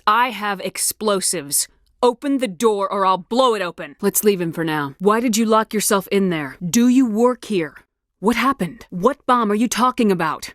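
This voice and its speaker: An actress playing a tough, no-nonsense space marine commander in a video game, speaking in a firm, clipped, authoritative way. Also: female voice, mid-range voice, no room sound, studio quality, natural voice. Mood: commanding, forceful. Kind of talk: scripted video game dialogue acting.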